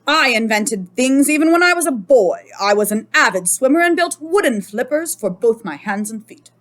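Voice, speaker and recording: Lady playing a boastful old inventor guy from a kids book, highish voice, really animated, full of himself. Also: normal speed. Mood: proud. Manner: self-congratulating, theatrical. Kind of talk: children's picture book read-aloud.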